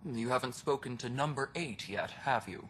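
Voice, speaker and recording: A man playing a gruff, world-weary alien station superintendent in a video game, speaking bluntly with dry sarcasm. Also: mid-range voice, moderate pace, fairly bright tone, a little hiss or hum, natural voice. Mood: blunt, wry. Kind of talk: video game cutscene dialogue.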